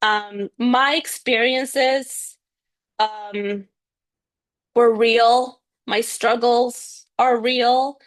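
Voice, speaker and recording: A woman's voice: on the high side, fairly even intonation, very slow. A young woman, a speech therapist, answering interview questions earnestly with frequent "um"s and "you know"s. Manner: earnest, speaks of stuttering, fillers like um and you know. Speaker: bilingual speech-language pathologist, guest.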